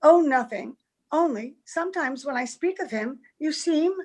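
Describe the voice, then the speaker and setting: A woman's voice: high voice, lively intonation, moderate pace. A woman playing a romantic, naive young bride, happy yet anxious, in an old-time radio drama. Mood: affectionate, concerned.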